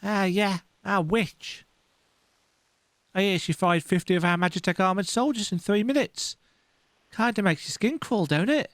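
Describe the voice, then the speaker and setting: A man's voice: sits high, moderate pace, fairly bright tone, no room sound, voice pushed up. A man voicing a sceptical, uneasy soldier in a fantasy video game, grumbling in a folksy, gossipy way. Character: jumpy, sceptical, grumbling male imperial soldier.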